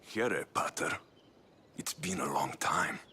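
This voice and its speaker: An actor playing a bitter, passionate young mercenary son in a dramatic video game, with a gravelly, intense delivery. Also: male voice, rumbling low, expressive, takes it slowly, whispered, heavily acted. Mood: hushed, bitter. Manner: intense, swinging from hushed to shouting.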